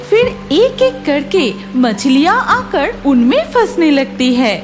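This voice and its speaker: A woman's voice: high-pitched, big pitch swings, takes it slowly, music in the background. A woman reading scripted cartoon story narration as the storyteller.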